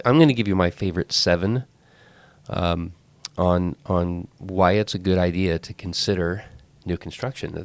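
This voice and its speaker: A man's voice: sits low, no room sound. A man hosting a podcast with a head cold and a clogged, congested voice, talking casually and rambling with frequent restarts. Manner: rambling, self-correcting. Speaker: podcast host. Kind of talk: casual talk.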